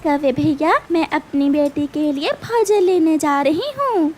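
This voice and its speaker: A woman's voice: sits high, a little slow, darkish tone. A woman voicing a small, protective mother bird in a cartoon, plaintive, urgent and pleading. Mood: polite. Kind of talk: Hindi cartoon character dialogue.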